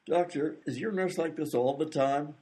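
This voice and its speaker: Man acting exasperated straight man, worried visitor, rather high husky voice, insistent, radio comedy. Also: moderate pace, mellow. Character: exasperated, worried man pleading for his unconscious friend. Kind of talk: absurdist radio comedy sketch.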